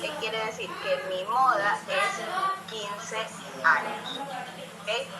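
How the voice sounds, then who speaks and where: Woman teacher, slow and patient delivery, echoey room, loud music underneath. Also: fairly high pitch, very animated. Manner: explains step by step, checking understanding with frequent "ok?". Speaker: statistics teacher. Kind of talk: classroom lesson.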